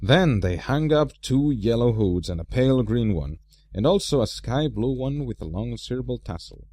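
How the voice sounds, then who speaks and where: A man narrating a storybook as an amateur reader, with an expressive, theatrical delivery. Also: medium pitch, normal speed.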